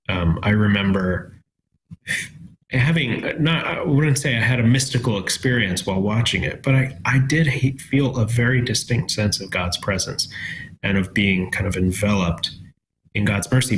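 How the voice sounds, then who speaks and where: A lower-voiced man in his thirties gives a reflective testimony as a talk-show guest. He pauses often and searches for his words. A faint hiss of background noise sits under his voice. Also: low-quality recording. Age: 30s. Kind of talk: sharing a personal faith testimony.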